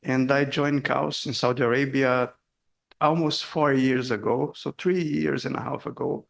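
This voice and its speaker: A man's voice: medium pitch, steady tone, a little slow, gravelly, fairly bright tone. A middle-aged man from Brazil giving a seminar talk in a hall, hesitant and full of fillers, laughing at himself. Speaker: guest speaker. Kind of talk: academic presentation.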